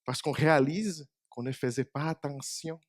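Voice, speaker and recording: Preacher, male, native Portuguese speaker, slightly high voice, chatty sermon to a few people. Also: normal speed, dry recording, clean studio sound. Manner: conversational, asks the congregation questions. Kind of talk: short church meditation. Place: small church.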